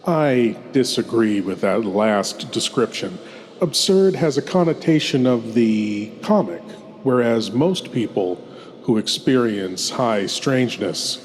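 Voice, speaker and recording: A man puts on a sinister lord who hosts horror tales. He speaks theatrically and very slowly in a fairly low register, with courtly menace. Long reverb trails every phrase, as in a vast hall. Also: expressive. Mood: grave, measured. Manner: deliberate, theatrical, ominous. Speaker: horror show host. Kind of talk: slow theatrical scripted horror narration.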